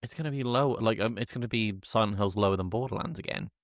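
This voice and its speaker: A man's voice: lowish voice, fast talker, no echo, studio quality. A British man chatting casually as a podcast co-host, wry and blunt, hedging as he goes. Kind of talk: casual chat.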